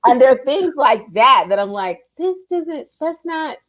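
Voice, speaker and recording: A woman's voice: sits high, sing-song, a little slow, dull audio, crowd noise. A woman podcast host chatting casually, effusive and given to repeating phrases for emphasis. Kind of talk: casual chat.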